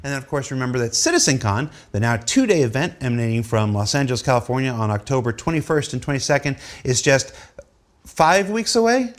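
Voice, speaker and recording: A man hosting an unscripted live show, speaking in a halting way with emphatic repetition. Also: mid-range voice, sing-song. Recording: noisy streamed show audio.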